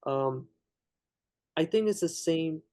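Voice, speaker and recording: A man's voice: on the high side, animated, mellow, smooth. A young man, the interviewer, debating casually with frequent restarts and fillers. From Ukraine. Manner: halting, many restarts and fillers.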